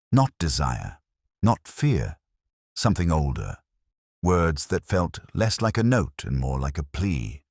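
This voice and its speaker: Ageing, regretful detective narrator, male actor, husky with expressive swings in pitch, brooding and introspective, for a literary crime drama. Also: no room sound, clean studio sound, heavily acted. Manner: measured, brooding, reflective. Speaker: first-person narrator.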